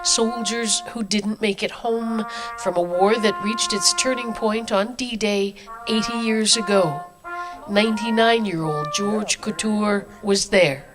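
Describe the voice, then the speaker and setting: Brief: woman reporter, mid-pitched, solemn news voice-over, faint music underneath. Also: fairly even intonation, slow, low-quality recording. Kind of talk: scripted news narration.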